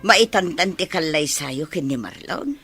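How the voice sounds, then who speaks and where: A woman's voice: low voice, lively intonation, unhurried, fairly bright tone, soft background music, exaggerated voice. An actress playing a middle-aged, concerned village mother in a radio drama. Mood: concerned, surprised. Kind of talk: Ilocano radio drama dialogue.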